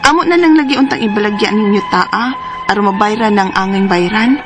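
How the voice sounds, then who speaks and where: A woman reading a listener's letter aloud on a radio advice program, in a steady, measured way. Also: mid-range voice. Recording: radio studio read over background music. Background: background music.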